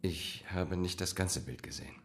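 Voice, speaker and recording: An actor in a radio drama playing an agitated painter with a dark secret, phrasing things weightily and deliberately. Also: male voice, low voice, a little hiss or hum. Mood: grave, guarded.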